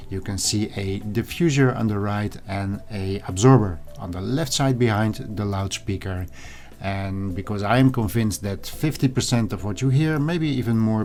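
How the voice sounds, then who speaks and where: A man hosting a hobby video, narrating in a measured, explanatory way and addressing viewers directly. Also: on the low side, a little mellow. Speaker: host of an audio hobby channel. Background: background music.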